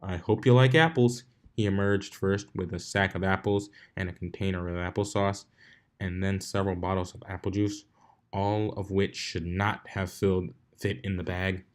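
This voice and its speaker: A man's voice: sits low, flat delivery, moderate pace. A man reading fan fiction aloud as a scripted story narration.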